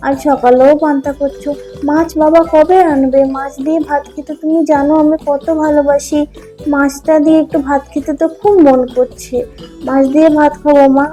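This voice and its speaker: Woman playing a high-voiced, plaintive little boy. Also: mellow, natural voice, rough recording. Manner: plaintive, repetitive pleading. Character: young poor village boy, pleading and tender-hearted. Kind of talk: cartoon dubbing. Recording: music under the voice.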